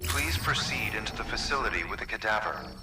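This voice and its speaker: A man's voice: fairly low pitch, lively intonation, brisk, background noise, close to an everyday voice. A man voicing an impersonal automated delivery terminal in a video game, making formal, clipped system announcements. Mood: impersonal, courteous. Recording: echoey room with music behind.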